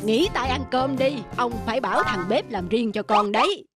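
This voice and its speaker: A woman's voice: on the high side, animated, moderate pace, natural voice. Lady doing a stingy smug landlord guy in a cartoon, swoops around a lot, music behind. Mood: smug lecturing. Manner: smug, lecturing, cajoling. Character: stingy, sly, preachy middle-aged male landowner. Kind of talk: cartoon folk-tale acting.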